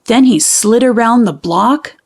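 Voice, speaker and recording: A woman reading a children's storybook aloud in an expressive, deliberate way with dramatic pauses. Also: on the high side. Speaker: children's book reader. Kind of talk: animated storybook read-aloud.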